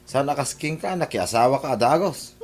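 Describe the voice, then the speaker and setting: A man's voice: mid-range voice, animated, normal speed. A man playing a put-upon, complaining fish-vendor husband in an Ilocano comedy radio drama, with an Ilocano accent. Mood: teasing. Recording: faint market crowd behind.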